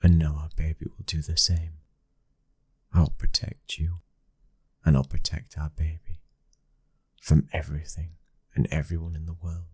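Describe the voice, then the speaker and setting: Very deep, husky British mafia husband acted by a man. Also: flat delivery, a little slow, long pauses, dry recording, heavily acted. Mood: tender, devoted. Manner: hushed intimate delivery slipping into whispers. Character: brooding young mafia boss's son, protective devoted husband. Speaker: ASMR roleplay performer. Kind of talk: intimate boyfriend roleplay ASMR acting.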